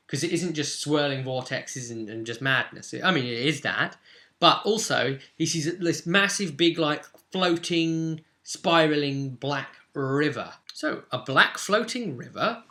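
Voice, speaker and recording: A man casually recapping a tabletop roleplay session as game master, with expressive storytelling and dramatic emphasis. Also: a little high.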